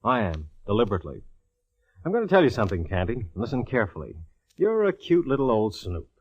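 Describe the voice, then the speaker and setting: An American actor playing a gruff, sardonic police detective in a 1940s radio drama, bossy, teasing and slangy. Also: male voice, medium pitch, wildly swooping pitch, quick pace. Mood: teasing, assured. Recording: dull vintage 1940s radio recording.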